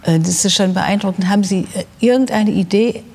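An older woman explaining as an expert guest, measured and searching for words. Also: mid-range voice, darkish tone, slightly rough, long reverb tail. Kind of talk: explanatory discussion. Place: large TV studio.

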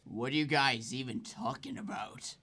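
A man playing a gravelly aging mafia boss. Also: mid-range voice, very animated, normal speed, bright, forceful tone, full character voice. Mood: gruff.

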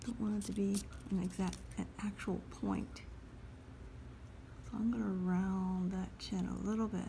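A woman calmly talking through a step-by-step drawing lesson as she sketches at a table. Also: mid-range voice, vocal fry, a little hiss or hum. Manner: calm, unhurried, talks while drawing. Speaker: drawing instructor. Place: at a table indoors.

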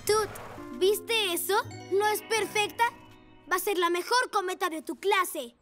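Cartoon lead: very high, childlike boy motorbike, earnest and eager, music underneath. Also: unhurried, fairly bright tone. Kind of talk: children's cartoon dialogue in Spanish. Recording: music behind the voice.

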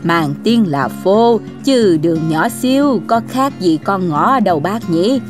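An actress playing a chatty, cautious older woman in a cartoon, speaking in a folksy, drawn-out way. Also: medium pitch, lively intonation, over music. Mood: friendly, chatty. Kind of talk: cartoon ghost story voice acting.

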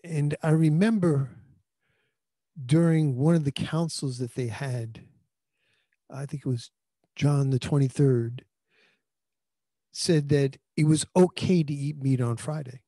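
New pastor preaching into handheld mic. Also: male voice, on the high side, steady tone, slow, pauses often, warm tone, no echo. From New York. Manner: earnest conversational preaching with frequent restarts. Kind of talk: sermon. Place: small indoor room. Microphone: handheld microphone.

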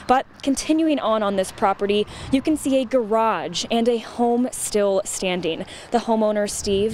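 A woman's voice: mid-range voice, darkish tone, slight background noise. A young woman reporter on location outdoors with a handheld mic, walking the viewer through the scene. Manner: explanatory, guiding the viewer. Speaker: field reporter. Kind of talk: live stand-up report. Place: outdoors at a damaged property.

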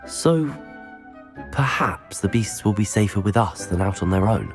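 A polite young student wizard from a fantasy game. His voice sits slightly low and moves expressively, while his manner stays composed. Music plays softly behind him. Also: animated, unhurried. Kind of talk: scripted video game character acting.

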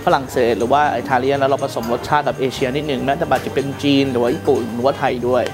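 A male executive chef in his thirties, from Thailand, speaks to camera in a calm, measured way, slipping in English terms. A clear music bed plays under his voice in the kitchen. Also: medium pitch. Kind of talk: to-camera introduction. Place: restaurant kitchen indoors. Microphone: lapel.

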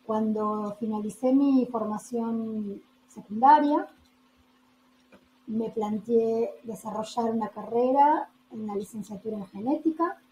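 An Argentine woman in her forties, a geneticist, giving a measured, prepared academic talk and drawing out words for emphasis. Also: fairly high pitch, mellow. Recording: echoey room with faint noise.

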